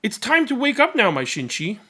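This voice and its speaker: A man voicing a young, protective big sister in a storybook, earnest and gently instructing. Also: high voice, wildly swooping pitch, brisk, slightly rough, voice pushed up, full character voice. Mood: gentle urging.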